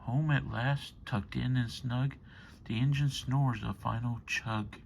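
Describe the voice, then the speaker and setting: A man reading a children's bedtime story aloud in measured, rhythmic rhyme. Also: mid-range voice, steady tone, gravelly, a little hiss or hum. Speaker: storybook reader.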